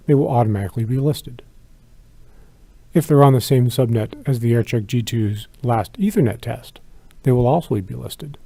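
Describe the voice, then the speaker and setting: Creaky-voiced man giving a product walkthrough. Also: medium pitch, mellow, dry recording. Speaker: product demonstrator. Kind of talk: scripted product tutorial narration.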